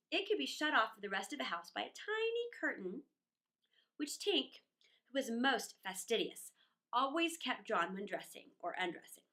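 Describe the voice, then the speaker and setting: A woman reading a children's story aloud in an expressive, playful, theatrical manner with chatty asides. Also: on the high side.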